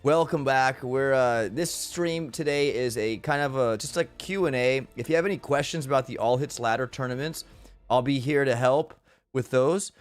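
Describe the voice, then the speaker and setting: A man's voice: medium pitch, normal speed, soft background music. A middle-aged man with a head cold and a stuffy nose, hosting a casual live stream in an upbeat, rambling way with lots of fillers and restarts. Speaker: streamer host.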